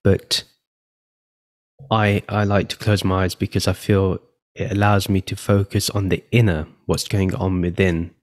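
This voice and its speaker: A man leading a guided meditation as a podcast host, speaking gently and without hurry, with pauses between phrases. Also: low-pitched, little pitch movement. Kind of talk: guided meditation, spoken instruction.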